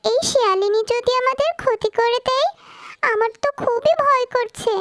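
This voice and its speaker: Squeaky-high timid little magic fish. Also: female voice, normal speed, fairly bright tone, no echo. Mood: fearful. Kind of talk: cartoon voice acting.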